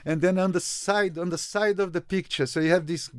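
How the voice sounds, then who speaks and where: Animated Brazilian man telling a story. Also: high-pitched, a little fast, clean tone, no echo, studio quality. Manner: animated, repeats words and restarts often. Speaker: photographer guest. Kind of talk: storytelling interview.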